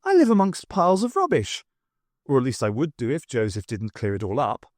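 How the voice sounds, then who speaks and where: Man voicing a wry woman, animated pitch. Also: a little fast, no room sound, studio quality. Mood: exasperated. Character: wry, weary, self-aware adventurous woman.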